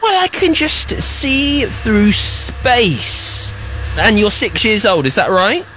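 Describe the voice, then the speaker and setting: A man's voice: high voice, slow, soft background music, rough recording. A man hosting a children's radio show, running a quiz with a young caller in a playful, teasing, boastful way. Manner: teasing, boastful, exaggerated excitement.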